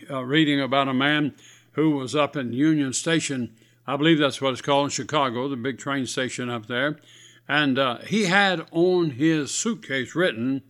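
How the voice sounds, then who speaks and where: A man's voice: on the high side, a bit bright. Scratchy-voiced radio preacher man. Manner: earnest, folksy, exhorting. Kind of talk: radio sermon.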